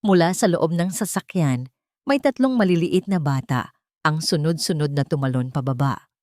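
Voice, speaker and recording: A woman narrating a romantic audio drama in a dramatic, expressive storytelling manner. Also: deep voice, normal speed, no room sound, studio quality.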